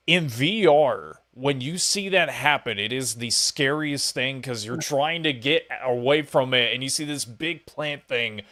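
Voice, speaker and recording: A man hosts a podcast interview in a casual, chatty way. His voice sits at an ordinary middle pitch. He speaks with informal enthusiasm, like a fan talking to someone he follows. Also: a little slow, few pauses, bright, forceful tone. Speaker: podcast host interviewing a guest.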